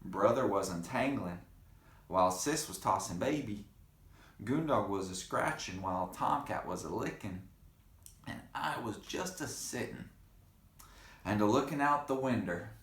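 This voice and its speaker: A man's voice: lowish voice, lively intonation, a little slow, a bit bright. A man reading a picture book aloud to children in a playful, dramatic way, with chatty asides to the kids. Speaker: read-aloud storyteller for children. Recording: roomy, echoing room sound.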